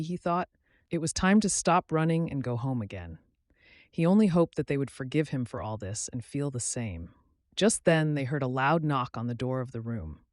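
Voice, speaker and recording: A man reading scripted fiction aloud as a story narrator, in an expressive storybook manner. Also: mid-range voice, dry recording, studio quality.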